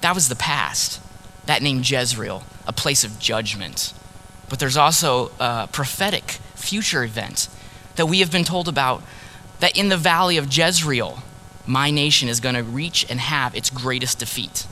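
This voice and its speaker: A man preaching in the first person as a grieving ancient prophet, earnest and sorrowful, dropping to a hush on key words. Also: mid-range voice, measured pace, slight background noise, low-quality recording. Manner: earnest, sorrowful storytelling, drops to hushed tones on key words. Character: grieving ancient male prophet, heartbroken husband. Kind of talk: dramatic first-person sermon monologue.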